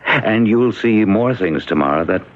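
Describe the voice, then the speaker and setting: Man acting low-voiced, earnest small-town mayor. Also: expressive, lightly acted. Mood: grave, wondering. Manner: deliberate, halting, theatrical. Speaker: radio drama actor. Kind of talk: classic radio drama acting. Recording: dull vintage radio recording.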